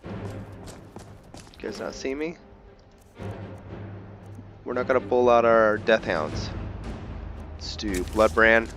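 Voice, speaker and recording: A man giving casual gameplay commentary, thinking aloud in halting fragments as he hosts a gaming video. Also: medium pitch. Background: game music and sound effects.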